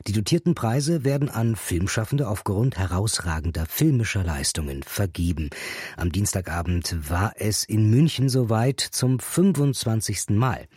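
A man presenting a culture program in a calm, formal announcing style. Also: fairly low pitch, normal speed, slightly rough, no room sound, studio quality. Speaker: radio presenter. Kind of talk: news presentation.

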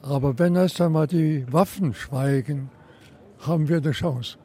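A man giving a thoughtful, deliberate interview statement. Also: a little high, warm tone. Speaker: award recipient.

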